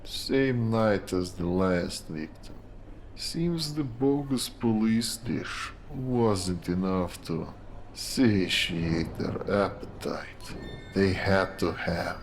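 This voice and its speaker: An actor playing a grim, insinuating police detective in a noir audio drama, with a deliberate, sly, theatrical delivery. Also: male voice, low voice, a little hiss or hum, heavily acted. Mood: ominous. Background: music.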